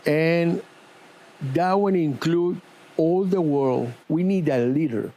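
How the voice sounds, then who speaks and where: Fields: Sex: man. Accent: Spanish. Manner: slow, halting English. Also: fairly high pitch, dark tone, slight background noise, rough recording. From born in Cuba, lived in Venezuela. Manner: halting, non-native grammar, earnest. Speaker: auto body shop owner. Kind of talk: interview answers. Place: auto repair shop.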